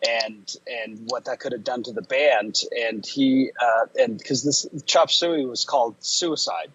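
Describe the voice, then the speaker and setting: A man co-hosting a podcast who grew up speaking Estonian, telling stories in a halting, casual way with many restarts. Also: mid-range voice, a bit bright, breathy edge, no echo, lo-fi sound. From United States. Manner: halting, restarts often, storytelling. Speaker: podcast co-host. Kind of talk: casual chat.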